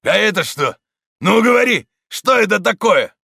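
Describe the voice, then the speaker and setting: A man voicing a brutal stonebreaker who taunts and shouts at his victims. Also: sits high, big pitch swings, normal speed, gravelly, breathy edge, no room sound, studio quality, voice pushed up, full character voice. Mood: taunting. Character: brutal male stonebreaker leading a mob.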